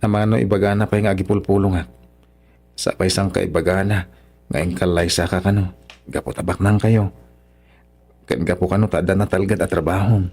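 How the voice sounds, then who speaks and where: A man's voice: low voice, fairly even intonation, fairly quick, long pauses, darkish tone, breathy edge. A man playing a humble, earnest young son-in-law of poor means in an Ilocano radio drama, respectful and hesitant, with an Ilocano accent. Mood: hurt, sincere.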